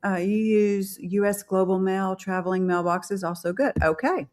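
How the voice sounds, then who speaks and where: A woman's voice: medium pitch, moderate pace, darkish tone. Lady hosting a live stream, reads comments out, chatty, laughs a lot. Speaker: host. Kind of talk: reading viewer comments on a live chat.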